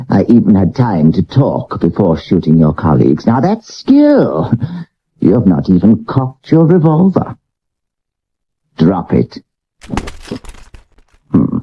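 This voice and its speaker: Slow, mocking killer. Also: male voice, deep voice, breathy edge, exaggerated voice. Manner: taunting, theatrical, slow and deliberate. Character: gloating, mocking male professional killer, theatrically calm. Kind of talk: period radio drama acting. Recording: muffled 1970s medium wave radio recording.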